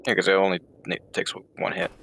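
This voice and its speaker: Chatty man with a low voice. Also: monotone, fast talker, vocal fry, pushed and bright, slight background noise. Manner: chatty, rambling asides. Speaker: game commentator. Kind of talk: casual co-commentary.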